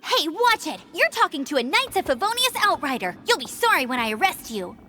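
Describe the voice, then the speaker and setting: A woman's voice: high voice, sing-song, fairly quick, bright, forceful tone, soft background music, full character voice. A woman playing a brave, headstrong young female knight scout in an anime-style game, earnest and emphatic. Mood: defiant.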